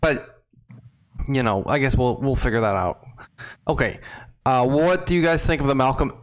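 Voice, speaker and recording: A man's voice: mid-range voice, normal speed. A man co-hosting a podcast in casual chat, rambling and joking, reading out the chat. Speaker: podcast co-host.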